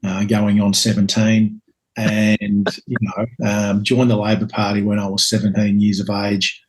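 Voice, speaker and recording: A Scottish man in his fifties, a guest telling his life story in a reflective, hesitant way with many pauses and ums. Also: on the low side, monotone, low-quality recording. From Scotland. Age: 50s.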